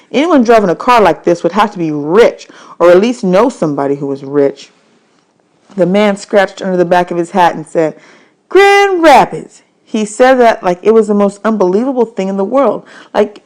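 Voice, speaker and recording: A woman reading a children's novel aloud as an expressive audiobook, with deliberate, emphatic storytelling. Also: mid-range voice.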